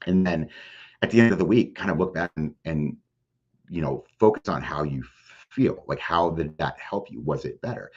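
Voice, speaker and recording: A man's voice: extremely low pitch, expressive, normal speed. A middle-aged man giving conversational advice as an interview guest, explaining in plain, everyday words sprinkled with filler phrases. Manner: plain-spoken, explanatory, peppered with "like" and "you know". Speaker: podcast guest, mental health advocate. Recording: dull, lo-fi sound.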